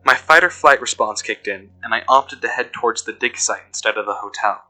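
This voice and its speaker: A man playing an uneasy investigator who recounts events in measured, deliberate horror narration. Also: mid-range voice, sharp tone, rough recording. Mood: tense. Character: uneasy male investigator recounting being followed. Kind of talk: scripted first-person horror narration. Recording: music behind the voice.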